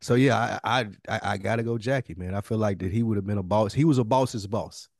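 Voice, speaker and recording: A man's voice: on the low side, a little fast, gravelly, darkish tone, no echo, clean studio sound. A man co-hosting a podcast in casual conversation, laid-back and slangy in how he talks. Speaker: podcast co-host.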